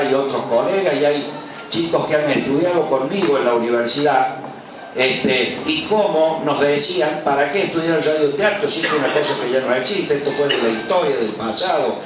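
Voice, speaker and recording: A very reverberant, noisy live recording of an Argentine man past fifty speaking to a gathering from some distance. He presents like a professor, informal but emphatic, checking in with rhetorical questions. His voice sits at an ordinary male pitch. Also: moderate pace. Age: middle-aged or older, over 50. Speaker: author and university radio professor presenting a book. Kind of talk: informal public speech at a book presentation. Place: large colonial patio at a public event.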